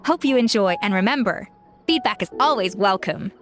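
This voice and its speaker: An actress playing a poised female chef in a video game, speaking in a calm, measured, articulate way. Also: mid-range voice, soft background music, natural voice.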